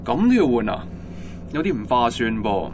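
A man's voice: mid-range voice, very animated, moderate pace, lightly acted. An actor playing a self-assured, flirtatious man, coaxing and sly, with a Hong Kong accent in a naturalistic radio drama. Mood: sly, teasing. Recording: clear background ambience noise.

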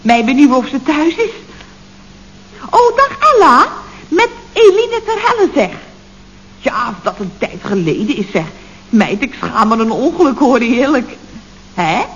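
A woman's voice: sits high, sing-song, unhurried, pauses often, exaggerated voice. An actress playing a chatty, dryly witty female office secretary in a vintage radio comedy, with a theatrical, sarcastic delivery. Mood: gushing, chatty. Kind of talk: 1970s radio comedy acting. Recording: muffled, noisy old radio recording.

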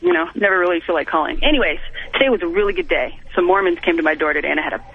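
High, husky man on phone. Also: animated, very fast. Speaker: radio show caller. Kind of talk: casual anecdote, venting. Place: phone call into radio show. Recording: tinny, narrow telephone line.

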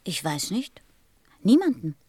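A woman's voice: mid-range voice, very animated, unhurried, dark tone, slightly rough, no room sound. A woman playing a curious, earnest young boy in a radio play, innocent and persistent in manner. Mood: thoughtful. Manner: innocent, insistent questioning.

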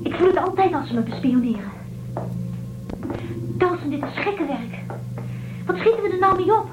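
A woman's voice: extremely high pitch, lively intonation, moderate pace, over music. An actress playing a frightened, pleading woman space traveller in a science fiction radio drama, urgent and panicky. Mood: fearful, paranoid. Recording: distant, echoey, dull, very noisy.

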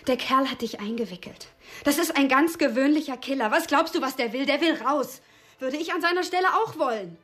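A woman's voice: high voice, a little fast, natural voice. A woman playing a jealous, neglected lover in a radio drama, sardonic and needling. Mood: mocking, blunt.

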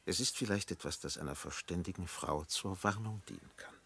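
Radio drama pastor, old, grave and probing: gravelly low voice, formal, measured delivery. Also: male voice, a bit bright, full character voice. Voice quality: gravelly, husky. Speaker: actor. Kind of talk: German radio play acting.

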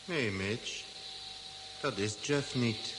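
An actor playing a mysterious, calm alien voice that speaks in an eerie, measured way in a Dutch radio drama. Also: male voice, mid-range voice, noisy background. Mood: eerily calm. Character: mysterious calm disembodied alien voice misleading an astronaut.

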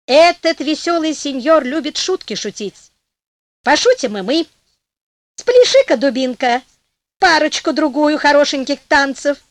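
A woman's voice: high-pitched, animated, a little slow, dry recording, pitched above the performer's own voice. A woman voicing a bold, cheerful young male hero who gives orders and teases. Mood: mocking. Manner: commanding and mocking.

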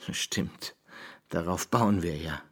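A deep, very husky voice carries a nervous politician with something to hide. He confides breathily, as in close radio drama dialogue. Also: drawn-out words, fairly bright tone, full character voice. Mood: uneasy, confiding. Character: anxious, secretive male presidential candidate.

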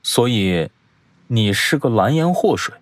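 A man's voice: mid-range voice, very animated, measured pace. An actor playing a brash, sarcastic young male star who coaches a junior, in a mocking, exclamatory way in an audio drama. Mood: dry, sarcastic. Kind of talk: audio drama acting with background music.

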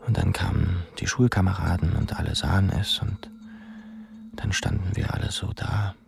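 A very deep, gravelly male voice tells a pupil's sorrowful story in a German radio drama. He is solemn and hushed, pausing often as he reflects. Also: fairly even intonation, noisy background. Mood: hushed, shaken. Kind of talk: literary radio drama narration.

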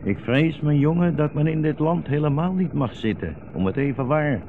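A man's voice: on the high side, animated, moderate pace. A man playing an old blind rabbi, weary and sardonic, with ironic, rhetorical turns, in a 1960s radio drama. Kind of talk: 1960s radio play acting. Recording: muffled, noisy 1960s radio recording.

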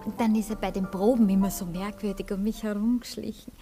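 An actress playing a naive, stubborn, tipsy young sweetheart in a radio play, halting and drawling, with a Viennese accent. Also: female voice, mid-range voice, steady tone, warm tone, faint music behind, close to an everyday voice. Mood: artless reminiscing. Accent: Viennese Austrian. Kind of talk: theatrical German radio play dialogue.

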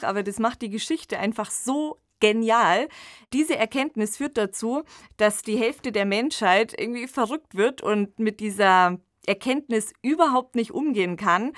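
A woman's voice: medium pitch, a bit bright, no room sound. Woman, podcast host, thoughtful, colloquial, conversational, dry close recording.

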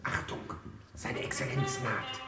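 An actor playing a fussy, formal master of ceremonies in a satirical German radio play, announcing and giving clipped commands. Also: male voice, medium pitch, fairly even intonation, measured pace, close to an everyday voice. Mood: officious, commanding. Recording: echoey room with loud music.

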